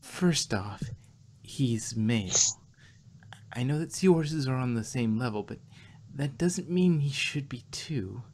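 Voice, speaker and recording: A woman's voice: a bass voice, animated, takes it slowly, a little mellow, heavily acted. A woman voicing a flustered, exasperated young female pony scholar in a fan fiction reading. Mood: exasperated, lecturing.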